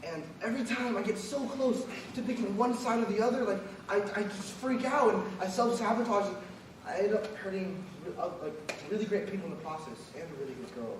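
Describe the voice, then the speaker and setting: A troubled, unsure college student, played by a young man stammers and restarts as he talks. His voice is high and comes from a distance across a very echoey stage. A clear noise floor sits behind him. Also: expressive. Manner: hesitant, halting, with many restarts. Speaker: actor in a student stage play. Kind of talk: live stage acting. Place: university auditorium stage. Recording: echoey stage sound from far off, audience noise.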